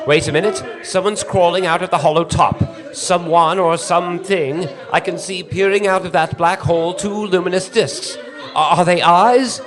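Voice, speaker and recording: An actor playing a frantic radio field reporter in a radio drama, breathless and urgent as an eyewitness. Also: male voice, high-pitched, steady tone, normal speed, a crowd in the background, lightly acted. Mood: alarmed awe. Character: frantic male radio field reporter, awestruck then terrified.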